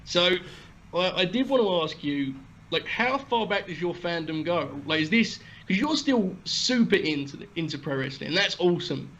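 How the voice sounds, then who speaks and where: A man's voice: high voice, lively intonation, moderate pace, a bit bright, lo-fi sound, a little hiss or hum. A young man hosting a podcast, chatty and joking with his guest. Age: young adult. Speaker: podcast host. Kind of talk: casual interview chat.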